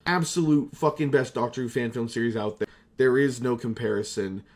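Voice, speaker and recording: A young man rambling casually as a video creator, self-deprecating, excitable and quick to swear. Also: mid-range voice. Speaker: video creator, amateur voice actor. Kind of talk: casual rambling retrospective vlog.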